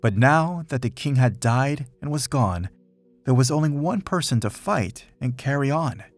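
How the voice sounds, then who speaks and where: A man reading a rhyming children's picture book aloud in an expressive, dramatic storytelling manner. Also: medium pitch, a little slow, soft background music. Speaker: storybook reader.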